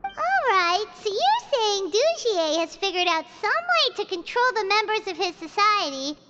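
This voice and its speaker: A woman voicing a tiny childlike fairy companion in a video game, excitable, blunt and easily confused, with exclamatory delivery. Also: very high voice, a little slow, muffled recording, heavy background noise, full character voice. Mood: matter-of-fact. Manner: speaks of herself in third person, exclamatory and indignant. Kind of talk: video game character voice acting. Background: loud game music.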